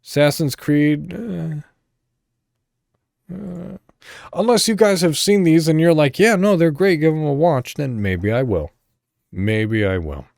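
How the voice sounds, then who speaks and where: A man hosting a podcast solo, chatting casually and thinking out loud in a rambling way. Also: fairly high pitch, unhurried, creaky, long pauses, no room sound, clean studio sound. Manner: rambling, thinking aloud, mild swearing. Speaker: podcast host.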